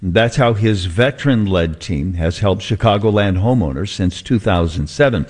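A male American radio host with a fairly low voice talks conversationally to listeners. He tells things like an unhurried storyteller, stretching phrases to build suspense. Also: lively intonation, darkish tone. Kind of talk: conversational radio talk, reading listener messages.

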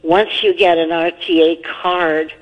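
A woman's voice: lowish voice, fairly even intonation, measured pace. A woman calling into a radio show and giving folksy practical advice over the phone. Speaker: caller. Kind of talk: call-in radio chat. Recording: muffled phone-line call audio.

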